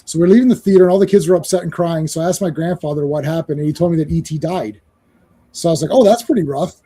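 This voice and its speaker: A man's voice: fairly high pitch, fast, breathy edge. A man whose first language is Croatian, chatting on a podcast about horror movies and laughing as he tells stories. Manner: animated storyteller, laughs often. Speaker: podcast co-host, reviewer. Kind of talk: casual podcast chat.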